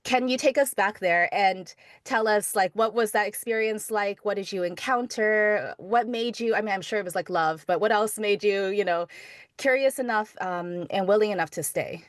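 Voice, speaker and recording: Female interview host, mid-pitched, dry close recording, informal and effusive, thinking aloud as she asks. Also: moderate pace, no echo. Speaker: podcast host. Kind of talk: casual conversational interviewing.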